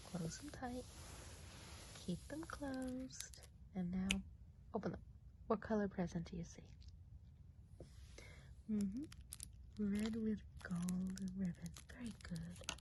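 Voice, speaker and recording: A woman playing a kindly witch of the woods in a soft-spoken, whispered ASMR role play, chatty and unhurried. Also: medium pitch, background noise, natural voice. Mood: coaxing, soothing. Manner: hushed, unhurried, chatty, often trailing off.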